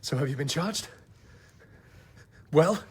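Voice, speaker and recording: An actor playing a proud, irritable doctor who drinks, in a radio drama, husky and snappish with his wife. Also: on the high side, lively intonation, slow pace, slight background noise. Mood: exasperated. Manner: snappish, scornful, defensive. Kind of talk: naturalistic radio drama dialogue.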